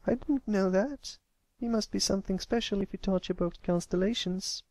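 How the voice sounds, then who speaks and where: An earnest young pony filly from a storybook, put on by one reader, with a very high, lifted voice. She is eager, caring and full of questions, recorded dry. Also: normal speed, darkish tone, no echo, lightly acted. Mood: curious. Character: concerned, curious young filly, loyal friend. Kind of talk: acted fan fiction reading.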